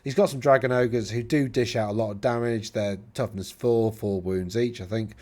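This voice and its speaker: Rambling Middle England man, slightly low voice. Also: fairly even intonation, moderate pace, darkish tone. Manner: rambling, self-correcting, chatty asides. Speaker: host reviewing tournament lists. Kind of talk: casual solo commentary.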